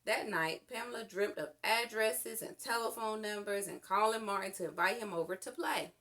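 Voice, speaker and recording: A woman reading a children's storybook aloud in an expressive, deliberate narrating style. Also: mid-range voice. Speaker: storytime reader.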